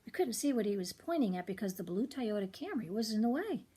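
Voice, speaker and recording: A woman's voice: medium pitch, very animated, fast talker, dark tone, husky. An older woman reading a children's chapter book aloud as a storyteller, expressively acting out the dialogue.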